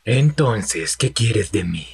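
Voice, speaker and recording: A man voicing a stern, humorless canine army leader in a cartoon, curt, deadpan and authoritative. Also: on the low side, slow pace, gravelly, slightly rough, full character voice. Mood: suspicious. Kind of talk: animated fan dub acting.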